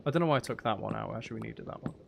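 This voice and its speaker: A man's voice: on the low side, rapid-fire, creaky, noisy background. A man streaming casually, thinking aloud and questioning himself as he works through a building task and chats with viewers. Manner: musing, self-questioning, talking half to himself. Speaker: live streamer. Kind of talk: casual gaming livestream chat, thinking aloud while building.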